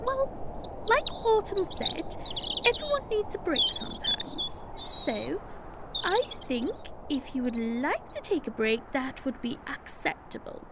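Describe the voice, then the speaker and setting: Woman playing a high, swooping, prim spring spirit. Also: big pitch swings, full character voice. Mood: formal, composed. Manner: formal, measured, officious. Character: Spring, prim orderly female season spirit chairing a meeting. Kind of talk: amateur radio drama. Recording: clear noise with birdsong.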